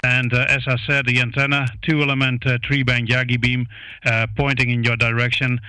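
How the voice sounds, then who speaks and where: A man with a Dutch accent, a native Dutch speaker, making contact as an amateur radio operator, speaking English haltingly with many hesitations. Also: mid-range voice, little pitch movement, pushed and bright, smooth, no echo. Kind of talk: ham radio contact chat. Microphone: close studio broadcast microphone.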